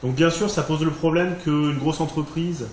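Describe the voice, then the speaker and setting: A man speaking French presents his group's results to a room, thinking aloud with frequent fillers and restarts. His voice sits a little high and rings with room echo. Faint hiss lies underneath. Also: steady tone, moderate pace, darkish tone. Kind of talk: informal presentation.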